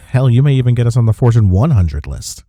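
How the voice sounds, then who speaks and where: A man playing a smitten office rival in an intimate romantic audio roleplay, with a husky, mellow voice and a halting, teasing manner. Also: mid-range voice, sing-song, no echo, studio quality. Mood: earnest, defensive. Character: flustered, smitten male office rival confessing attraction.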